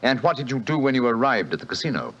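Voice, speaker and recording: An actor playing a shrewd, assured detective, crisp and lightly ironic, in a 1940s radio drama. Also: male voice, medium pitch, sing-song, fast talker. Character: brisk, shrewd British detective, sharp and assured. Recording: dull old radio broadcast.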